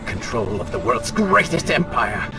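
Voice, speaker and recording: An actor playing an arrogant, taunting dark spirit, a prince's wicked other self, with mocking, theatrical delivery in a video game. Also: male voice, mid-range voice, big pitch swings, unhurried. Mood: coveting, scornful. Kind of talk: dramatic video game acting. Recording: loud music under the voice.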